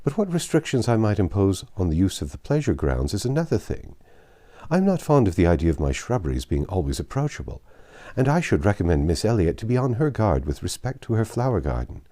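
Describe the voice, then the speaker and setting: A vain, haughty old baronet from a classic storybook, acted out by a male reader. He speaks with pompous disdain and emphatic, highly varied intonation. The tone is soft-edged rather than sharp. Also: very animated, a little fast. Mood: cool, haughty. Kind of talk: theatrical audiobook character reading.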